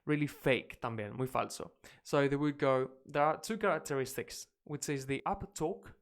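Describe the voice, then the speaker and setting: Spanish-born English teacher on a live stream, British-leaning accent, lively chatty delivery, mid-pitched voice. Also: male voice, normal speed. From Spain. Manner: energetic, chatty, reacting to clips.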